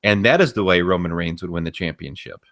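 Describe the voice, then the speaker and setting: A man's voice: low-pitched, fast, a bit bright. A man co-hosting a podcast, giving emphatic, opinionated commentary on wrestling in a casual conversational style. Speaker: podcast co-host.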